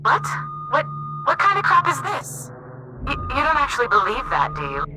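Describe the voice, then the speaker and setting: An actress playing a brash, skeptical survivor in a video game cutscene, blunt and excitable. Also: female voice, high voice, moderate pace, heavy background noise. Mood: scornful, exasperated.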